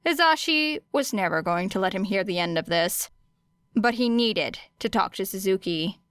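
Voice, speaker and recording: A woman narrating fiction as an audiobook, with expressive storytelling. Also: medium pitch, moderate pace, no room sound, clean studio sound.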